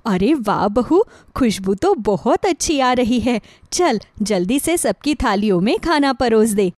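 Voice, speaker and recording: A woman's voice: fairly high pitch, animated, unhurried, a little mellow, no room sound, studio quality. A woman playing an affectionate older mother-in-law who frets about her household in a moral story. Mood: warm, approving. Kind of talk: acted dialogue in a moral story.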